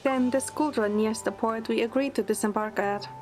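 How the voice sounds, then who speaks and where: Playful young woman narrating a romance audio drama, voiced by a woman, kinda high voice, teasing, music behind her. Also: steady tone, normal speed, natural voice. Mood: gentle narration. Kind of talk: narrated audio drama, first-person storytelling. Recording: music under the voice. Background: background music.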